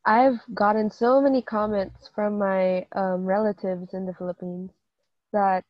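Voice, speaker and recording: A young woman from Hong Kong chatting with her brother. She talks in an animated, exclamatory way with quick asides. The audio is a little dull and muffled, close and dry. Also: medium pitch, no room sound. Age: late teens. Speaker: co-host, sister. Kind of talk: casual chat.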